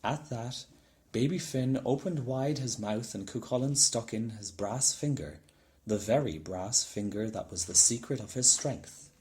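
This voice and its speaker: Slow-paced man reading a children's story. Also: medium pitch, a little mellow. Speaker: storybook reader. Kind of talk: expressive children's story narration.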